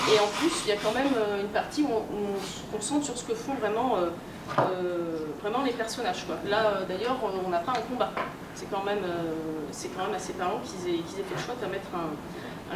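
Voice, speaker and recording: A woman lectures in a roomy, echoing space, picked up a distance over clear background noise. Her delivery is colloquial and explanatory. She hesitates often and rephrases as she goes. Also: medium pitch. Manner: hesitant, colloquial, self-correcting. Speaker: conference speaker, researcher and editor. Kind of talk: informal explanatory lecture with examples. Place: live talk in a room at a convention. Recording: roomy, distant, noisy live conference recording.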